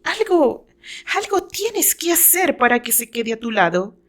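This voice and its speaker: Radio drama heroine, voiced by a woman narrator: jealous, wounded, defiant, high-pitched, dramatic delivery. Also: very animated, a little slow, full character voice. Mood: desperate, defiant. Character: jealous, heartbroken red-haired woman in love, defiant.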